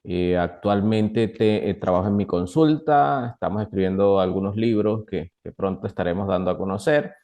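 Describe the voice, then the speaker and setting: A young man with a Colombian Caribbean accent, explaining things as an expert guest in an interview, in a halting way with repeated words. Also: lowish voice, warm tone. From northern Colombia. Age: young adult. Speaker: guest doctor, nutrition expert. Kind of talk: interview, explaining to a lay audience.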